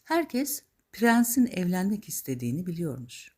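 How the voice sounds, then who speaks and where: A woman narrating a scripted children's fairy tale in an expressive, dramatic storytelling manner. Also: fairly low pitch, normal speed.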